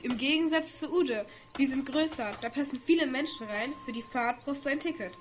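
Young female actor, high voice, as a helpful, matter-of-fact girl guide in an amateur radio play; ambient noise behind. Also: brisk, lightly acted. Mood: explaining. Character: helpful girl from a future world explaining vehicles.

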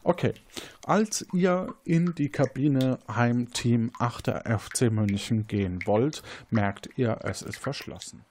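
A man's voice: medium pitch, takes it slowly, a little mellow, slight background noise. A man hosting an interactive crime game, narrating scenes as game master in a partly improvised, playful way.

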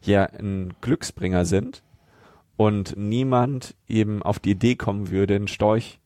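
Younger man, lowish voice, chatting. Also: unhurried, dark tone, no echo, clean studio sound. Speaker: podcast co-host. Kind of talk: casual chat.

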